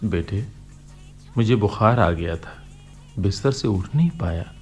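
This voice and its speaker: Kindly elderly postman, husky, unhurried, lowish pitch, fatherly, earnest, radio drama. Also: expressive, a little mellow, soft background music. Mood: affectionate. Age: older. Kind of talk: Hindi radio play acting.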